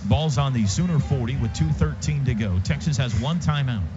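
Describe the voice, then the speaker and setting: An American man doing live play-by-play football commentary on the radio, with excitable, dramatic phrasing. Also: medium pitch, fairly quick, over music. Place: stadium radio broadcast booth. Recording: dull lo-fi radio broadcast, loud stadium noise. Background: loud outdoor stadium noise.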